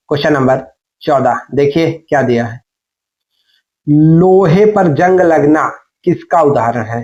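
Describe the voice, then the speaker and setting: The sound is a bit dull and lo-fi. A man with a fairly high-set voice teaches as an exam coach. He reads out questions, prompts the learner and repeats the answers in an instructional way. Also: darkish tone.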